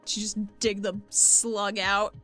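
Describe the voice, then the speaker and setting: A woman's voice: medium pitch, level tone, slow, bright, forceful tone, soft background music. A woman playing in a tabletop roleplaying game, chatting in a wry, informal way. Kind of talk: casual roleplay chat.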